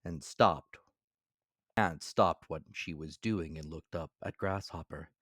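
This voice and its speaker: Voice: very deep voice, takes it slowly, fairly bright tone, no room sound, studio quality. A storyteller giving a dramatic scripted reading of a children's fable.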